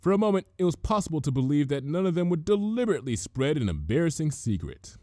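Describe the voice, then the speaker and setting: A man reading a comic story aloud as narrator, in an expressive, measured storytelling manner. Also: fairly high pitch, no echo, studio quality. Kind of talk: dramatic scripted fan fiction reading.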